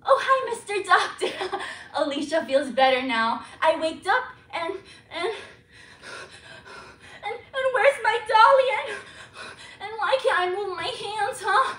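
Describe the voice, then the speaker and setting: A frightened little girl who lisps through baby talk, giggling and whimpering by turns. The voice is high and bright. It is heard from a distance in an echoey room. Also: animated, slow, exaggerated voice. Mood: childlike. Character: frightened little girl persona named Alicia. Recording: echoey room, mic far off.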